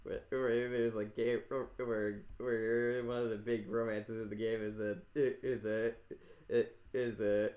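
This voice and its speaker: A man's voice: on the low side, flat delivery, slow pace, a little mellow, reverberant. A man hosting a casual interview podcast, rambling and often stumbling over repeated words. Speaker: podcast host interviewing a creator.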